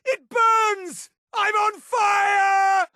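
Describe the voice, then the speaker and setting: An actor playing a haughty male high elf townsman in a fantasy video game, speaking in clipped, theatrical exclamations. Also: very animated, a little slow, fairly bright tone, no room sound, clean studio sound, full character voice. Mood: panicked. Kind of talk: video game character barks.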